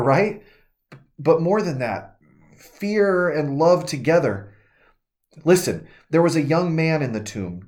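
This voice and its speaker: A man voicing a trembling, awestruck woman disciple. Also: on the high side. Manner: intimate first-person monologue, urgent and trembling. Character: shaken grieving woman disciple, fervent and awestruck. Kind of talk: devotional reading in character.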